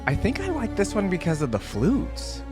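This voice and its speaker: A man's voice: sits high, huge pitch swings, moderate pace. A man giving casual, enthusiastic reaction commentary as a video host, chatting directly to his viewers. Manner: enthusiastic, conversational, addresses viewers as "guys". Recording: music playing behind the voice.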